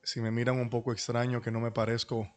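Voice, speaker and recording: Man, middle-aged pastor, medium pitch, humble, courteous, deliberate, introductory teaching talk. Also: monotone. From Dominican Republic and New York. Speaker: pastor and conference speaker. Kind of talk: introductory talk at an online church leadership class. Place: online video call.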